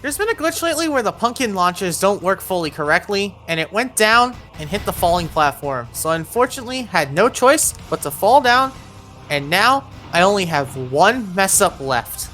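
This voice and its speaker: Animated woman narrating a game walkthrough. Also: mid-range voice, moderate pace, faint music behind. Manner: addresses the player with step-by-step cautions. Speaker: video game guide host. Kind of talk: voiced walkthrough commentary, instructional.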